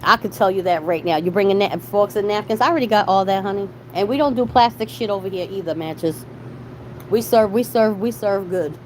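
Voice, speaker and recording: A woman's voice: mid-range voice, fairly quick. A middle-aged woman from Boston chatting casually as a livestream host, with a salty, slangy way of talking. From Boston, USA. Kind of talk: casual live chat with viewers. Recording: clearly noisy background.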